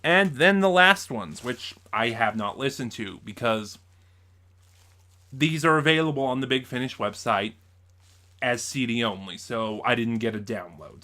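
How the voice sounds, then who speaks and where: A man's voice: medium pitch, bright, forceful tone. A man vlogging a casual unboxing review, explaining things in a rambling way and often correcting himself. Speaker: vlogger reviewing audio drama purchases.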